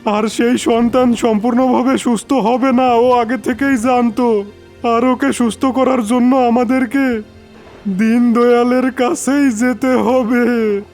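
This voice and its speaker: Cartoon father, anxious and pleading, high and slow, a man plays him. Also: steady tone, a little mellow, faint music behind, exaggerated voice. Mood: worried. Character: worried poor village father, desperate.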